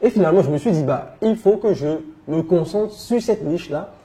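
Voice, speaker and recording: A man with a West African French accent, answering conversationally as an interview guest, telling his experience in an animated way. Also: high-pitched, a little fast. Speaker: interview guest, entrepreneur and coach.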